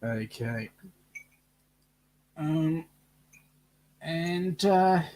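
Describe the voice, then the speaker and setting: A man's voice: on the high side, animated, drawn-out words, warm tone. Joking man in his late fifties. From Australia. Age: late 50s. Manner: joking, playful banter. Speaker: co-host. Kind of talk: casual live-stream chat.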